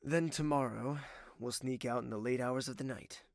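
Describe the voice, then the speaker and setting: A man's voice: mid-range voice, normal speed, vocal fry, darkish tone, no room sound, studio quality, natural voice. A man playing a tender, secretive young prince with a formal, hesitant way of speaking in a romantic audio drama. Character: soft-spoken young prince in love, secretive and wistful. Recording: music under the voice.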